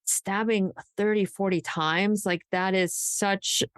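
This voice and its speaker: A woman co-hosting a podcast in casual true crime discussion, thinking out loud in an emphatic, conversational way peppered with "like". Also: medium pitch, fairly even intonation, slow, no room sound, studio quality. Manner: conversational, speculative, emphatic, says "like" often. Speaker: podcast co-host.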